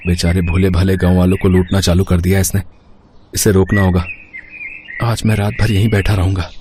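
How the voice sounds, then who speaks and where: A man's voice: deep voice, flat delivery, a little fast, background noise, lightly acted. A man voicing a poor, earnest young village son in a moral story cartoon, pleading yet determined. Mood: suspicious, determined.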